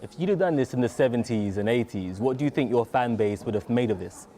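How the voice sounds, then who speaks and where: A man's voice: medium pitch, expressive, fast, dark tone. A male TV news reporter asking interview questions in a chatty, teasing way.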